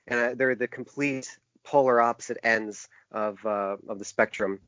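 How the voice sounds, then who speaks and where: Halting middle-aged man in a dry, muffled recording. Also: medium pitch, steady tone, fairly bright tone, clear, resonant tone, no echo. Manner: hesitant, halting, self-reflective. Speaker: podcast guest, author and small publisher. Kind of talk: casual interview conversation.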